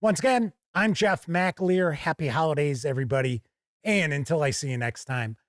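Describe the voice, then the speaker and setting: A man hosting a conversational video review, speaking in a measured, frank way with emphatic phrasing. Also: a little high, no room sound, clean studio sound. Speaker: host of a tabletop game review channel.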